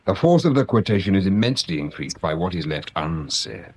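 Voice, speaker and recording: Low, lively, shrewd police detective. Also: male voice, slight background noise. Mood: intent, measured. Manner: formal, measured, drily understated. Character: courteous, shrewd, dry-witted visiting police detective. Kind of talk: radio drama acting.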